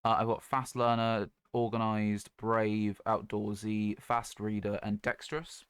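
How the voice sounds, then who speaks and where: A man chatting casually on a gaming livestream in a low-key, rambling, hesitant way. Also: flat delivery, measured pace, smooth, no room sound, clean studio sound. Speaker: video game streamer.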